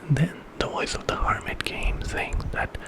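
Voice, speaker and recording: A man telling a story in whispered ASMR style, informally, as if making it up with casual asides. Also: normal speed.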